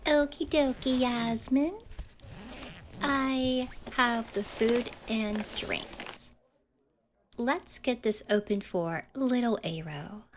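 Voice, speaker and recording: A woman's voice: fairly high pitch, very slow, noisy background. A woman acting a playfully cruel schoolgirl sidekick in an ASMR roleplay, teasing and mock-innocent.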